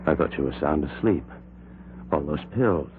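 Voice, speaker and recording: An actor playing a jealous husband who recites verse with brooding menace in a 1970s radio drama. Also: male voice, rumbling low, lively intonation, moderate pace, slight background noise. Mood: menacing. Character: jealous actor husband smothering his wife. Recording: tinny old radio broadcast.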